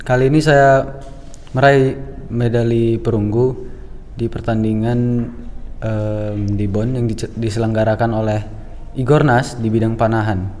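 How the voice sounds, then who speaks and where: A teenage boy from Medan, a student guest, speaking softly and a little hesitantly. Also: mid-range voice, level tone, a little slow, a little hiss or hum. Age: teens. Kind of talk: casual interview answers. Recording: reverberant room.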